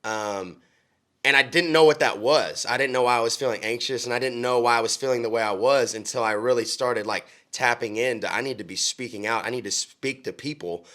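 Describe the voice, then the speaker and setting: A man's voice: mid-range voice, fairly quick, a bit bright. A young man from Oklahoma, a country singer, talking earnestly in a casual interview with frequent ums and restarts. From Oklahoma, USA.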